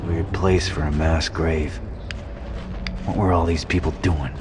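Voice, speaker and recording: A man's voice: extremely low pitch, steady tone, measured pace, background noise. An actor voicing a wisecracking, wary mutant duck man with a skeptical manner in a video game. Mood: wry, uneasy. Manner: sarcastic, skeptical. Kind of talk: video game character dialogue. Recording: voice under game music.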